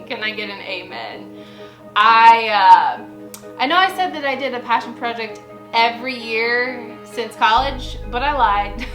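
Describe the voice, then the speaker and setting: A woman in her late twenties chats to camera in a personal vlog, upbeat and quick to laugh. Her voice sits a little high for a woman. Music plays clearly underneath her. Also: low-quality recording. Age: 20s. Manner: chatty and upbeat, laughs often. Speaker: vlogger.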